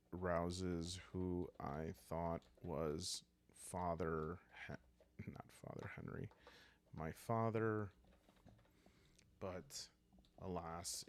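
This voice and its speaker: A man calmly narrating a solo role-playing game in a hushed, relaxing way, musing aloud as he goes. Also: low voice.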